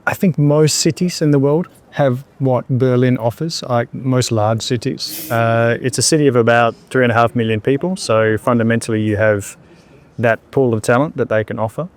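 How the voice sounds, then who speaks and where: A man, answering interview questions in a reflective, unhurried way with pauses and fillers. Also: mid-range voice, steady tone. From Australia. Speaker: entrepreneur guest.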